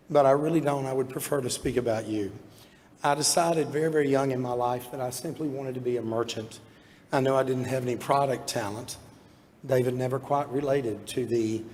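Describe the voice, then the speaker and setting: A man in his sixties with a hoarse voice from illness, giving a heartfelt, self-deprecating award acceptance speech from a podium. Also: mid-range voice, darkish tone. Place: large banquet hall at an awards event. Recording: long hall reverb, faint room noise.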